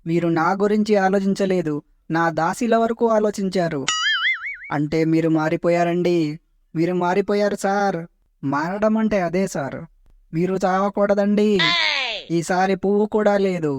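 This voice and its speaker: A man's voice: high voice, normal speed, long pauses, noisy background, lightly acted. A man voicing a nagging cartoon underling in a parody comedy, deferential yet needling. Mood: insistent. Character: nagging, insistent cartoon underling who answers back. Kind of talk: animated parody comedy voice acting.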